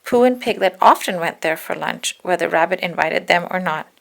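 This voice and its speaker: A woman reading a children's storybook aloud in an expressive narrating style, now and then correcting a slip. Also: fairly low pitch, normal speed, gravelly. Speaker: storybook reader.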